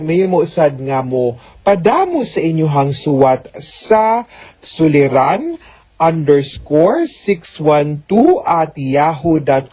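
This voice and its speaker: A woman's voice: fairly low pitch, no room sound. A woman with a Cebuano accent hosting a radio advice show as an attorney, explaining things conversationally with frequent tag questions. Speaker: host, attorney giving advice. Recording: dull lo-fi audio.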